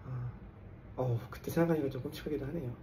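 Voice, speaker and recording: A man's voice: mid-range voice, fairly even intonation, fast talker, dark tone, recorded from a distance. A man in his forties from South Korea, a little tipsy, talking casually to camera as an engineer and coach and mixing English jargon into his talk. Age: 40s. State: tipsy after drinks. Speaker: construction engineer and English coach hosting a channel. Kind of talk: casual vlog monologue to camera.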